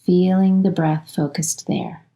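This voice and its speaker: A woman leading a guided relaxation as a yoga nidra instructor, speaking soothingly in short, unhurried phrases with long pauses. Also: on the low side, gravelly, dark tone, rough recording.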